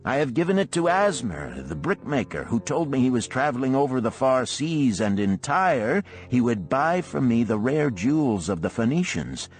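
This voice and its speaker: A man's voice: medium pitch, very animated, normal speed, hardly any pauses, faint music behind, voice pushed up, close to an everyday voice. A man voicing an earnest young scribe, proud and questioning, in an audiobook parable. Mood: proud, eager. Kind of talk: audiobook parable character dialogue.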